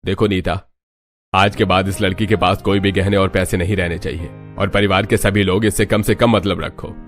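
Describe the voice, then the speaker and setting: A man's voice: low voice, fairly even intonation, normal speed, faint music behind, lightly acted. A man voicing a stern, proud, wealthy father-in-law in a story, with an authoritative, scolding manner.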